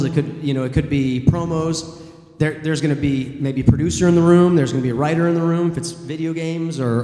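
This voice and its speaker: A man with a mellow, dark tone speaks on a convention panel, thinking aloud and circling his points with lots of fillers. His voice rings out in a big hall with long reverb. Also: a little high. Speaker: voice actor on a panel.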